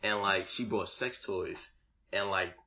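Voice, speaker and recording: A man's voice: sits low, measured pace, pushed and bright. A man from Alabama telling stories in a laid-back way, full of slang, in a casual group chat. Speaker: panelist.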